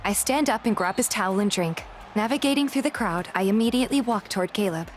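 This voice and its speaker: Woman, scripted story narration, first-person, medium pitch, background music. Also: animated, fast. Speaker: voice actor narrating and playing the heroine of a fan dub.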